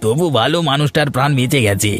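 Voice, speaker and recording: A man plays a roguish villager in a cartoon folk tale, mid-pitched. He talks slangily, with plenty of little interjections, over the sound of rain. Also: fast, fairly bright tone, faint music behind, close to an everyday voice. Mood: reflective. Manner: colloquial, slangy, hesitant interjections. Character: roguish village man Nagen, reformed wrongdoer. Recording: rain behind the voice.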